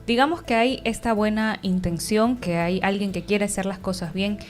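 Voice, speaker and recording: A woman interviewing a guest on a radio news program, asking questions in a clear, measured way. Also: mid-range voice, steady tone, soft background music.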